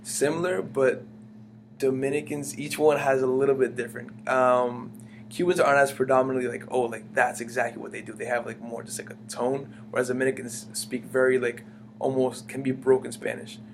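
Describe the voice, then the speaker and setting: A young man speaks in quick bursts and trips over his own words, restarting his sentences. His voice has a gravelly edge. A steady hum runs under the recording. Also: mid-range voice. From United States. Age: young adult. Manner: rambling, many fillers and restarts. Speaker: interviewee. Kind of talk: casual conversational interview. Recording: humming background noise.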